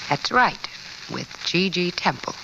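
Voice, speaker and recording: A woman's voice: fairly low pitch, big pitch swings, unhurried, vocal fry, exaggerated voice. A woman acting a world-weary, guarded confidante in an old radio crime drama. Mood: guarded, matter-of-fact. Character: world-weary woman confidante who knows the underworld crowd. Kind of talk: 1940s-50s American radio drama acting. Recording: dull, hissy old radio recording.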